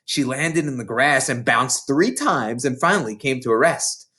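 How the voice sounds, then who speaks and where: A reader giving an expressive, dramatic read-aloud narration of a children's novel. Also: mid-range voice, moderate pace. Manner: dramatic, animated storytelling. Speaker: storybook reader.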